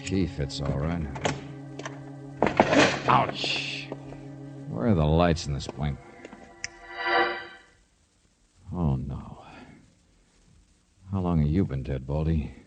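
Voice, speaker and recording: An actor playing a tough, wisecracking American private eye in a 1950s radio drama, terse, wry and insistent, on a noisy old recording. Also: male voice, rumbling low, takes it slowly, pauses often, music in the background, full character voice. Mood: wary, wry.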